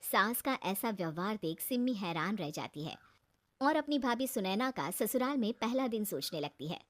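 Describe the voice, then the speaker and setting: A woman's voice: medium pitch, expressive, normal speed. A woman reading scripted narration for a cartoon story.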